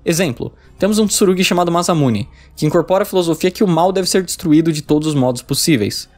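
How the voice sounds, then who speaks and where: A man's voice: on the high side, fast, a little mellow. A man with a Brazilian accent giving scripted narration for a video essay.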